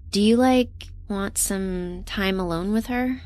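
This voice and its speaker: A woman playing an earnest, excitable young intern who talks casually and emphatically in an audio drama. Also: medium pitch, takes it slowly, close to an everyday voice. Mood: tentative. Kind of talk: naturalistic audio drama acting.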